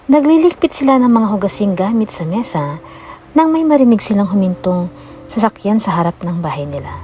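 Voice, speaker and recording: A woman reading a scripted story as an expressive audiobook narrator. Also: medium pitch. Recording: music behind the voice. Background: background music.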